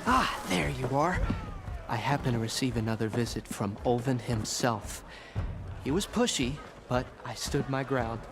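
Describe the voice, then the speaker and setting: An actor playing a fussy, put-upon but polite camp cook in a video game, with formal, wordy speech. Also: male voice, medium pitch, moderate pace, gravelly, background noise, close to an everyday voice. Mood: dryly proud. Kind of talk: video game dialogue acting.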